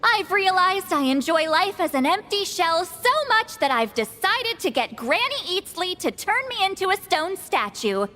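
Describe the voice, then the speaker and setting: An actress voicing a haughty, sharp-tongued granny shaman priestess in an anime fantasy game, commanding, teasing and theatrical. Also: very high voice, very animated, moderate pace, few pauses, full character voice. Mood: mocking, teasing. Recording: long reverb, music underneath. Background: game music.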